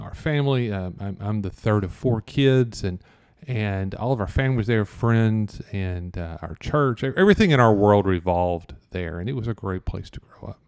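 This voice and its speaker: A man's voice: fairly low pitch, darkish tone, smooth, dry recording, clean studio sound. A man from Kentucky, a guest on an interview show, casually telling personal stories. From Louisville, Kentucky, US. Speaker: interview guest, business association president.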